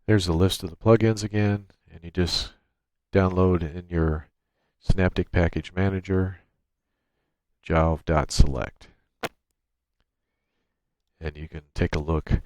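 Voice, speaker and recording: A man with a deep voice presents an informal software walkthrough. He explains each step as he goes, speaking into a USB microphone. The sound is dry, with little room in it. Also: fairly even intonation, takes it slowly, pauses often, no room sound. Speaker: tutorial presenter. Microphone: USB microphone through a noise gate.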